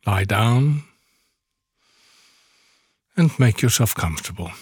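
A man leading a guided yoga nidra meditation, giving soothing instructions slowly with long pauses. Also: lowish voice, animated, breathy edge, no room sound, clean studio sound.

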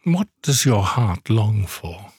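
A man leading a guided yoga nidra meditation, giving soothing instructions slowly with long pauses. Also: on the low side, sing-song, darkish tone, husky, no room sound, clean studio sound.

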